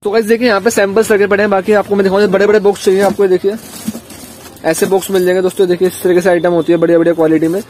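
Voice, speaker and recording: A man's voice: sits high, flat delivery, very fast, darkish tone, slight background noise. A middle-aged man vlogging through a cramped market shop, eagerly showing products to his viewers. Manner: eager, repeats "ye dekhiye guys", upbeat. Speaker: vlogger touring a wholesale shop. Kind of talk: energetic product walkthrough.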